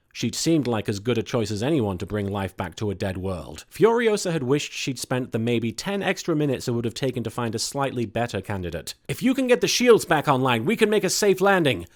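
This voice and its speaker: A man's voice: medium pitch, a little mellow. A man hosting and narrating a scripted comic story, with some casual introductory talk. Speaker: host and fan fiction reader.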